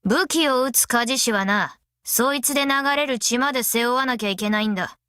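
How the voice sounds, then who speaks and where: Brash, high-pitched female smith. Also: fairly quick, bright, forceful tone, dry recording, studio quality. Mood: fierce, commanding. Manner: rough, cocky, tomboyish colloquial speech. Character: brash, easygoing, long-lived female master metalsmith. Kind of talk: anime mobile game character voice lines.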